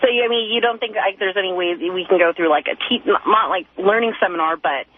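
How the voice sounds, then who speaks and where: A woman's voice: medium pitch, quick pace. A woman, a hesitant, rambling and deferential caller chatting casually over the telephone. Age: 20s. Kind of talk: casual phone-in chat. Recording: tinny, narrow telephone line on radio.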